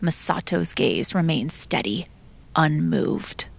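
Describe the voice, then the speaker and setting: A woman reads scripted dramatic narration in a hushed, solemn tone. Her voice is very low for a woman and edged with fry. She takes her time over each phrase while music plays behind her. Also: lively intonation, slow.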